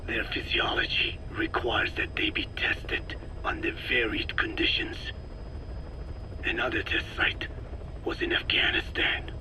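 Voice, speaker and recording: An actor playing a frail old scientist in a video game, speaking slowly and precisely in a weak, breathy rasp. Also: male voice, lowish voice, level tone, noisy background, close to an everyday voice. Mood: grave, clinical. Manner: slow, deliberate, precise, scientific. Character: frail old male scientist explaining his work, measured and grave. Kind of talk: dramatic game voice acting, expository dialogue.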